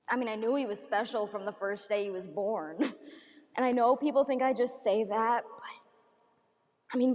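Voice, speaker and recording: A young woman performing a dramatic monologue as a grieving mother who remembers her baby son in a tender, halting, conversational way. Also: fairly high pitch, dark tone. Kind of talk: competitive dramatic interpretation monologue. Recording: echoey hall, recorded at a distance.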